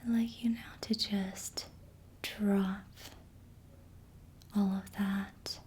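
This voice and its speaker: A woman speaking in a breathy whisper, giving slow, soothing ASMR relaxation guidance with gentle instructions. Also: level tone. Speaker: ASMR reiki practitioner. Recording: faint background noise with low rumble.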